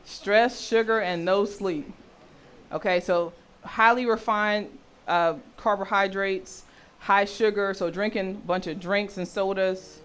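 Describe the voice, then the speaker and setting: A woman with a deep voice for a woman, teaching a health session and often checking in with her listeners. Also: steady tone, slow pace, a bit bright, smooth. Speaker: health and intimacy educator.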